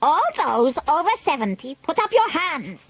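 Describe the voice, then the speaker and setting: A woman's voice: high-pitched, very animated, moderate pace, fairly bright tone, no echo, pitched above the performer's own voice, full character voice. A woman voicing the imperious, cruel leader of the witches in a storybook reading, with a grand and cold commanding manner, on a dry, close recording.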